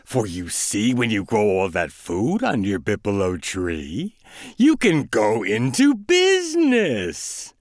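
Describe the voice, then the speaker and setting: A scheming cat from a storybook, coaxing and persuasive, drawing out his words slowly, as a man puts him on. His pitch swings widely from low purrs to high sweet notes. The recording is close and dry. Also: huge pitch swings, dry recording, heavily acted. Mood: sly coaxing. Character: sly scheming male cat, a smooth talker. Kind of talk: storybook reading.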